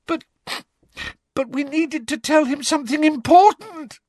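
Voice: mid-range voice, expressive, a little slow, bright, forceful tone, no room sound, clean studio sound, voice pushed up. A performer voicing a distressed teenage schoolgirl in an audiobook, tearful and stammering but defiant. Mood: choked, wailing. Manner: sobbing, stammering, gasping delivery.

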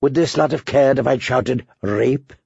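A man voicing a proud, sardonic, wounded knight in an audiobook, speaking in hushed, dry tones. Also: unhurried, creaky, husky, no room sound, dull audio, exaggerated voice. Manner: low whispering, sardonic.

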